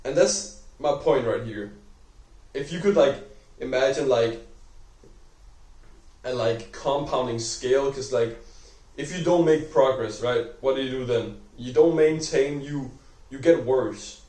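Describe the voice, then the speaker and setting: A man's voice: medium pitch, a little slow, darkish tone, distant mic. Young man, Danish accent, rambling, lots of "like", roomy echo, mic set back. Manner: rambling, heavy use of "like", self-correcting. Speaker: vlogger. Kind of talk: unscripted talk to camera. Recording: echoey room, voice off-mic.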